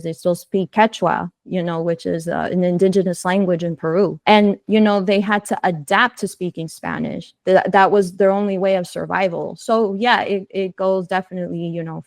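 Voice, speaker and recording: A woman's voice: on the low side, gravelly, no echo. An American woman co-hosting a podcast, talking casually and reflectively in an earnest, explanatory way. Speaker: podcast co-host.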